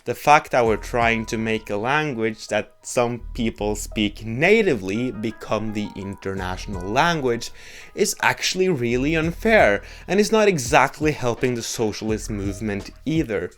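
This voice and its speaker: A man's voice: medium pitch, lively intonation, normal speed, faint music behind. A young man with a Norwegian accent, a native Norwegian speaker, giving a blunt, joking opinion monologue as a video host. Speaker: YouTube host.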